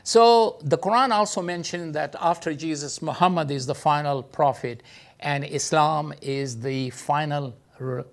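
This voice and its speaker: A man's voice: unhurried, creaky. A man giving an informal teaching talk, full of hesitations and filler sounds, speaking English with non-native phrasing. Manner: hesitant, many filler sounds, lively pitch jumps. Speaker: speaker teaching about Islam.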